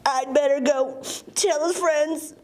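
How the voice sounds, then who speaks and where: A grumpy puppet animal, played by a woman with a high voice and an unhurried drawn-out delivery. The folksy schemer is theatrical and exaggerated, made for a children's puppet show. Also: steady tone, darkish tone. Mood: mock sorrow. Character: slow-witted, grumpy, conspiring puppet animal, friend of a fox.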